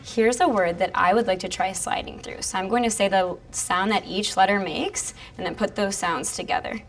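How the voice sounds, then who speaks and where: A woman's voice: medium pitch, steady tone, normal speed, soft background music. A woman who teaches elementary school, explaining reading strategies and reading aloud in an instructional way.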